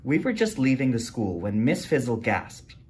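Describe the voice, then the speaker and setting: A man reading a children's picture book aloud, jumping to a higher voice for the characters' lines. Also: moderate pace, slightly rough. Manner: animated read-aloud, jumps high for character quotes. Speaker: storytime reader.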